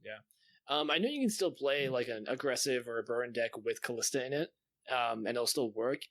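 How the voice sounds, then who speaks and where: Male podcast host, medium pitch, casual and slangy, close dry recording. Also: expressive, a little fast, fairly bright tone, no room sound. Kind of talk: casual gaming chat.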